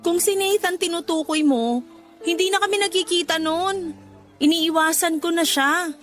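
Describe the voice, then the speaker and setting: A woman's voice: very high-pitched, normal speed. An actress playing a remorseful young daughter in an emotional, conversational radio drama, with music behind her. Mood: shy, teasing. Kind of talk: Tagalog radio drama acting.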